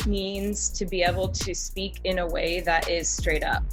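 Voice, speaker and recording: A woman giving a measured promotional testimonial. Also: lowish voice, fairly even intonation. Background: faint music.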